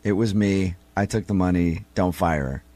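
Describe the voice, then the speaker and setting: A man's voice: deep voice, moderate pace, warm tone, no echo. A man hosting a morning radio talk show, bantering in a joking, sarcastic way.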